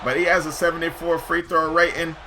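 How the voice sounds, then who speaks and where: A man giving excited, slangy live commentary over basketball video game play, shouting at big plays, his voice getting hoarse. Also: on the high side, brisk, noisy background. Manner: slangy, says "yo" often. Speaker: gaming YouTuber.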